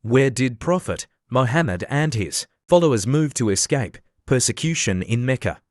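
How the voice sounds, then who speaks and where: A man hosting a quiz, reading out questions and answers in a plain, measured way. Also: mid-range voice, no room sound. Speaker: quiz host. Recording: clear steady background noise.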